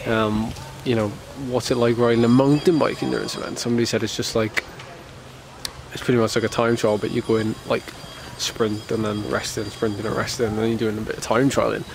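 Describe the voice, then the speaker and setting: A man's voice: on the low side, little pitch movement, brisk, creaky, noisy background. Congested man rambling in a vlog. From United Kingdom. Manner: rambling, many fillers and restarts. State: ill, slightly congested. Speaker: professional cyclist vlogger. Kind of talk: casual vlog talk to camera.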